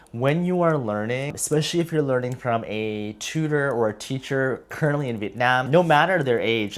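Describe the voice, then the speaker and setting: A man from the United States with an American accent, talking casually and reflectively to viewers, explaining with hedges and emphasis on key words. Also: medium pitch. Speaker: vlogger, heritage language learner.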